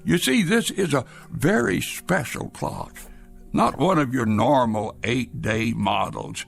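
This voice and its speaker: A man's voice: mid-range voice, takes it slowly, creaky, soft background music. An actor playing an anxious, stubborn old grandfather in a radio drama, with a fussy, stammering, insistent way of talking. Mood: eager, fussy. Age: older.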